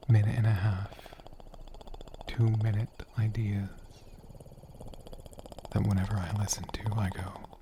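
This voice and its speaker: A man speaking softly and gently in an ASMR style, breathy and often whispering, rambling unhurriedly as he answers questions off the cuff. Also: fairly low pitch, flat delivery, measured pace, pauses often, background noise. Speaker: ASMR creator and channel host. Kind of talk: soft-spoken ASMR, unscripted question-and-answer.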